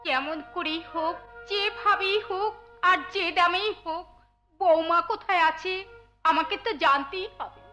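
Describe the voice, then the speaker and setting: Very high-pitched, anguished stepmother. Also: a little slow. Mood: worried. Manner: plaintive, declamatory. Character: anguished, righteous middle-aged stepmother and mother-in-law. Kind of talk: melodramatic folk theatre acting. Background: music.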